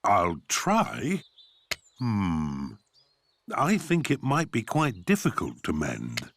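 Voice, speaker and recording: A man voicing a jolly, self-assured father pig in a children's cartoon, with a warm, chuckling manner. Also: on the low side, huge pitch swings, takes it slowly, slight background noise, exaggerated voice. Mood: boastful. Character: jolly, proud grown-up daddy pig. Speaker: cartoon voice actor.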